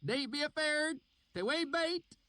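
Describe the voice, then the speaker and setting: Suspicious rural swineherd with a heavy country dialect, read by a man, pitched well up, storybook reading. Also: animated, measured pace, dry recording, exaggerated voice. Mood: hailing. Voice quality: thick rustic dialect. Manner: loud hailing, drawling. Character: weathered older male swineherd, gruff and suspicious.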